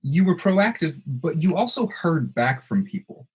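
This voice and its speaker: A man's voice: fairly high pitch, sing-song, a little slow, breathy edge. A man hosting a podcast interview, agreeing often and asking long, run-on questions. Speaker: podcast host. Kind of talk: casual interview chat.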